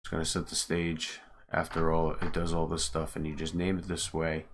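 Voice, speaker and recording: A man's voice: a bass voice, completely flat delivery, moderate pace, a little hiss or hum. A man casually muttering through his steps as he thinks aloud during a live screen-share tutorial. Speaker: streamer, game modder. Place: home, at a computer.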